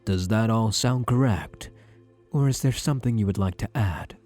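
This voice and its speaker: A man playing a self-assured, possessive psychiatrist in an ASMR roleplay, speaking in a measured, soothing, formal manner with a husky voice. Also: fairly low pitch, a little mellow, faint music behind, lightly acted. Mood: measured, clinical. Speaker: roleplay voice actor. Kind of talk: ASMR roleplay, scripted monologue to the listener.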